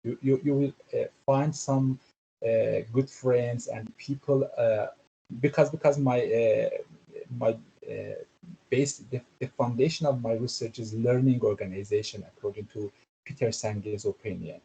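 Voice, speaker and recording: A man's voice: medium pitch, steady tone, a little slow, gravelly, dark tone, lo-fi sound, a little hiss or hum. A middle-aged man, a former professor now in graduate studies, speaking on a video call. He talks hesitantly, with many fillers and repeated "do you know". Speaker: former university professor, education graduate student. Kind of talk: casual academic discussion.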